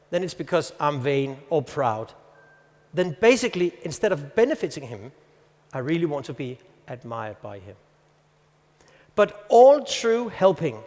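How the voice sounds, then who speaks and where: Danish man, 50s, high voice, conference speaker, storytelling delivery, reverberant hall. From Denmark. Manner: storytelling, reads a passage aloud with pauses. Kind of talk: presentation to an audience.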